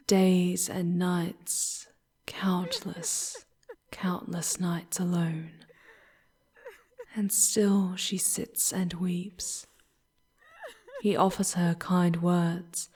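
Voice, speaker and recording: A woman voicing a charming, tender, sorrowful female demon guide in a breathy, whispered ASMR roleplay, with halting, dramatic phrasing and long pauses. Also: lowish voice, little pitch movement, a little mellow. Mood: hushed, mournful.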